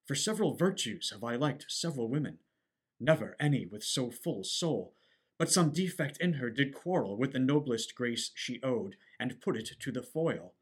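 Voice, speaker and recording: An ardent young prince, acted by a man wooing in Shakespearean stage verse. His voice sits at a middle pitch, and his delivery is courtly, ornate and full of earnest devotion. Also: expressive, normal speed, husky. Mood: adoring. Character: gallant, ardent young shipwrecked prince in love. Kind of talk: theatrical Shakespearean stage acting.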